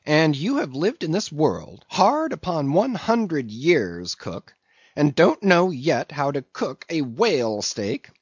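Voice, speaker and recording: A man voicing a brash, bossy whaling ship's mate in a storybook, teasing and interrogating loudly. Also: on the high side, big pitch swings, takes it slowly, slightly rough, dry recording, highs cut off, voice pushed up, full character voice. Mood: stern teasing. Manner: loud, teasing, interrogating, swooping exclamations.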